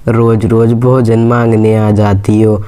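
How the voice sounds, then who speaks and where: A man's voice: lowish voice, level tone, takes it slowly, warm tone, clear, resonant tone. A man voicing a suspicious, scornful crow who taunts grudgingly in a cartoon story. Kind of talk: Hindi moral-story cartoon dialogue.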